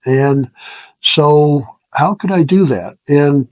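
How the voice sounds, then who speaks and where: A man in his seventies telling his story as an interview guest, casual and reflective, with a halting, self-deprecating way of talking and frequent chuckles. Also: on the high side, a little mellow, dry recording. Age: 70s. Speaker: interview guest, retired business founder.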